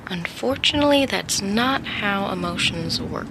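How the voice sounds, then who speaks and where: An actress playing a supportive, frank female friend in an audio drama, gentle but direct. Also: medium pitch, animated, a little slow, vocal fry, background noise. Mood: concerned, gentle. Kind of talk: naturalistic audio drama acting.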